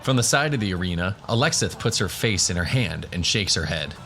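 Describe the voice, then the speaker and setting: A man's voice: sits low, animated, fairly quick, fairly bright tone. A man giving scripted narration for a fantasy audio drama. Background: music.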